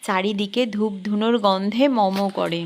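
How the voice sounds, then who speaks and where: A woman's voice: medium pitch, steady tone, moderate pace, heavy background noise. A woman, narrating a casual vlog and chatting to viewers as friends. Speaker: vlogger.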